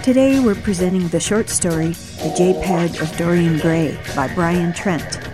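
A woman's voice: on the low side, a little slow. A woman hosting a fiction podcast, reading a scripted introduction with music behind her. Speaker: podcast host introducing a story.

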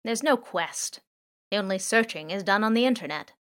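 A woman voicing a playful, chatty male drone that talks with natural pauses and indecision. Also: medium pitch, lively intonation, fairly bright tone. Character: loud talkative male surveillance drone, playful.

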